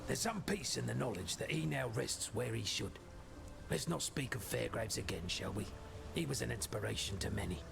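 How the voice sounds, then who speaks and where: An actor voicing a world-weary, reflective man in a video game, with wry, measured, old-fashioned wording. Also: medium pitch, expressive, gravelly, music in the background. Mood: wry, somber. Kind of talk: video game character dialogue. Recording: noisy ambience behind the voice.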